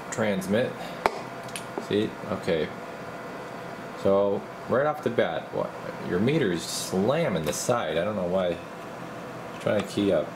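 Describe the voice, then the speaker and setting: A man with a fairly low voice and plenty of fry in it talks casually through a repair job at the bench. He thinks aloud in an informal, muttering way, like a technician showing his work. A steady ventilation hum sits clearly behind him. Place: indoor electronics repair workbench.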